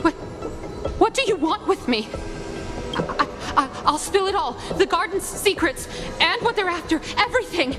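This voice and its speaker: Very high-pitched, cowardly pleading thief. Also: female voice, lively intonation, normal speed, over music. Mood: terrified, pleading. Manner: pleading, stammering. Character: cowardly female thief begging for her life. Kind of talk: scripted video game voice acting.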